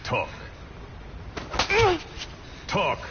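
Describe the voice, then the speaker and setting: An actor playing a calm, mocking military interrogator in a video game, questioning with slow, deliberate taunts. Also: male voice. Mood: cold, mocking menace. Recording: muffled, noisy cassette-tape playback stream.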